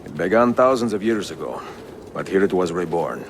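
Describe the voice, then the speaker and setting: An actor playing a weary, reflective older Italian assassin in a game cutscene, speaking in a measured, solemn way with an Italian accent. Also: male voice, sits low, animated, a little hiss or hum. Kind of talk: dramatic game cutscene dialogue and letter narration.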